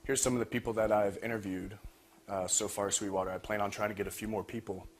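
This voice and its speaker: A young man with a fairly low voice gives an informal student presentation about his own work. The recording carries a little background noise. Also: little pitch movement, fast. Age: young adult. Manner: frequent throat clearing. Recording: noisy room recording.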